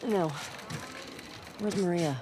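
A young woman survivor in a grounded video game, speaks in a low voice. She is sardonic and guarded, with a casual, awkward mumble and a dry edge. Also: slow, background noise. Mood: reluctant, curt. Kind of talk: naturalistic video game acting.

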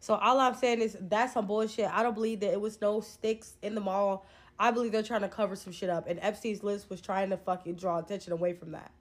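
A woman's voice: medium pitch, steady tone, a little fast. A young woman, talking casually to her phone camera, emphatic, incredulous and swearing freely as she lays out a conspiracy theory. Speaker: social media commentator. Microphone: phone held close, selfie framing.

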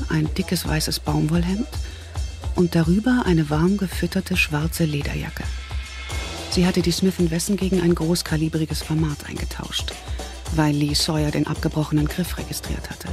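A male narrator with a rather high voice reads a scripted thriller audio drama. Clear music plays under his narration. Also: steady tone, unhurried.

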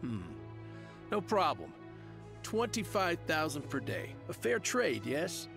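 An actor playing a businesslike, unimpressed laborer in a video game, with a matter-of-fact manner. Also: male voice, a little high, very animated, slow pace, creaky, over music, exaggerated voice. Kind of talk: video game character acting.

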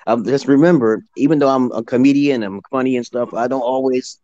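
A drowsy man in his forties with a New York accent, speaking slowly and drawing his words out as he tutors over a video call. He keeps leaning on "okay" between thoughts, and his voice comes through close and dry with no room sound. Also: mid-range voice, dark tone, no echo, lo-fi sound. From United States. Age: 40s. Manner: slow, drawn-out and repetitive, leaning on "okay". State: just woken up, drowsy. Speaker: English tutor. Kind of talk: casual live-stream chat. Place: indoors at home, lying down. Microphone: video call, phone held close.

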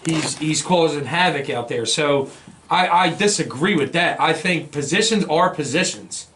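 A man's voice: on the high side, lively intonation, moderate pace, a bit bright. An American man debating sports casually on a podcast, with an emphatic, argumentative, informal way of talking. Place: small indoor podcast studio. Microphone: boom-arm studio microphone with foam windscreen.

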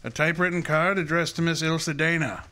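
Man playing a pompous, bright-voiced great detective. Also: a little high, fairly even intonation, faint music behind. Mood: wry, thoughtful. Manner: formal, pompous, measured. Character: pompous, unhurried great male detective, dryly witty. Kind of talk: old-time radio detective drama acting.

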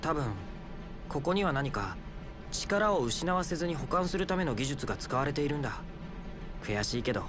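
Man acting gentle, earnest young game hero, lively pitch. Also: moderate pace, background noise, lightly acted. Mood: earnest, determined. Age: young adult. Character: thoughtful, earnest young swordsman with a scholarly curiosity. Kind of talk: Japanese video game cutscene acting.

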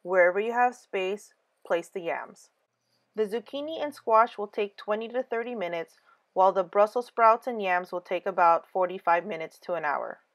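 A woman giving a scripted cooking voice-over, calm clear step-by-step instructions for a home recipe. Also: mid-range voice, moderate pace, muffled recording. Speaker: cooking channel host.

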